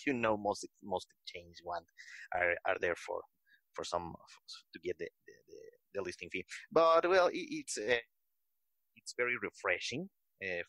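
A man with a Mexican accent, a native Spanish speaker, answering haltingly as an interview guest. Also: sits low, pushed and bright, no room sound, rough recording. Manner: halting, repeats words. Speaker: interview guest, cryptocurrency project team member. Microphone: remote call.

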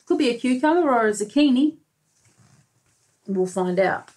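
A woman's voice: a little high, lively intonation, normal speed, darkish tone. A woman in her thirties with an Australian accent, a preschool teacher reading a storybook aloud expressively with comments for young children. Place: small bright room indoors.

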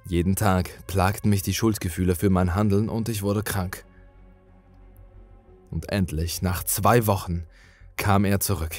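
Male creepypasta narrator: fairly low pitch, unhurried, dramatic scripted read. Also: fairly even intonation, soft background music. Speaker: horror story narrator.

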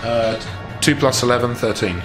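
A man's voice: medium pitch, moderate pace. A man speaking casually as a player in a tabletop role-playing game. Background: background music.

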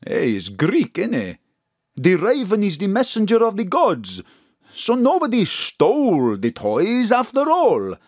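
Earnest, knowing mystic friend, hugely animated pitch, slow emphatic delivery, audio drama. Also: female voice, no room sound, studio quality.

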